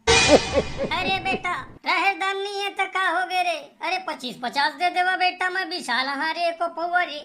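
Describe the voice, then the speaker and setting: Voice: extremely high pitch, unhurried, loud music behind the voice. An actor voicing a whining, scheming beggar holy man in a cartoon comedy, with a Chhattisgarhi accent, complaining and pleading.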